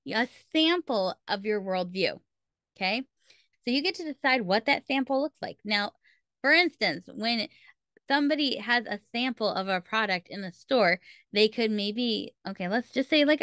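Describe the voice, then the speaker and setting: Female podcast host, mid-pitched, explaining points emphatically in an informal teaching style, recorded dry. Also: fairly bright tone, no room sound. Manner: emphatic, repeats key points for stress. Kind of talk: instructional solo podcast talk.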